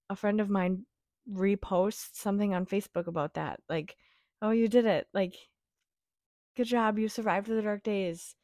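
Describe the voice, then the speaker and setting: A young woman hosting her own podcast, her voice raspy from a week-long cough, chatting in a gentle, reassuring, rambling way. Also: medium pitch, no room sound, clean studio sound. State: raspy from a cold. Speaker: podcast host. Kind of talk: casual solo podcast chat.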